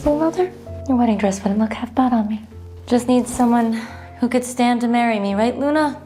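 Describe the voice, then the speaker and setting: A woman's voice: a little high, normal speed, mellow, lightly acted. An actress playing a lonely but defiant young noblewoman in an audio drama, earnest and emotional. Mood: wistful. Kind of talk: dramatised audio series acting. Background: music.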